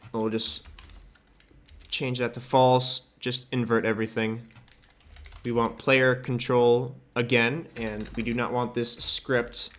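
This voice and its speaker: A man with a medium-pitched voice talks through a hands-on tutorial in a casual, offhand way. He thinks aloud as he works, explaining each step informally, like someone narrating his own screen. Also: a bit bright. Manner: informal, loose and offhand, occasional swearing. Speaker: host of a game modding tutorial.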